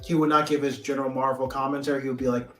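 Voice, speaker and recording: A man's voice: medium pitch, level tone, racing, fairly bright tone. A man hosting a casual live stream chat in a joking, slangy way. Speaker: host. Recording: roomy echo, music behind.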